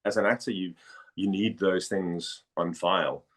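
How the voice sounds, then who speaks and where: A man in a casual interview, telling stories in a chatty, halting way and often rephrasing as he goes. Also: low voice, steady tone, a bit bright. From Denmark. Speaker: voice actor and model, interview guest.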